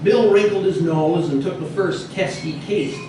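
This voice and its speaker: Guy with a high voice reading his funny memoir out loud, really echoey, mic far off. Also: a little slow. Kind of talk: live reading of a humorous true story. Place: echoey indoor bistro. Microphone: distant microphone in the room. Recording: roomy, echoey, noisy, distant mic.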